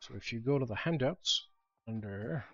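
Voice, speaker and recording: A man's voice: medium pitch, lively intonation, normal speed, a bit bright, no room sound, lo-fi sound, soft background music. A man speaking as game master, casually talking through rules during an online tabletop game. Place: online voice call.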